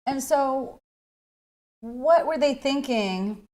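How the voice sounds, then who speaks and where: A woman hosting a casual chat, asking questions with animated, exclamatory reactions. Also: high voice, extremely slow. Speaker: host.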